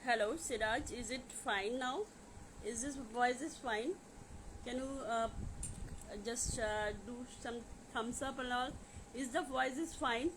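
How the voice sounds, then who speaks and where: A woman with an Indian accent talking informally to viewers as a coach on a livestream, in a halting, repetitive way with many filler phrases. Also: fairly high pitch, background noise. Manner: repetitive, halting with frequent "you know". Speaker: life coach hosting a live question session.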